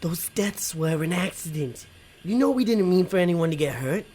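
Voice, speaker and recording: An actor as a dim, eager demon sidekick, swooping pitch. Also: male voice, expressive, normal speed, dark tone, a little hiss or hum. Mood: earnest. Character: dim but eager male demon sidekick, would-be charmer. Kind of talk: audio drama acting.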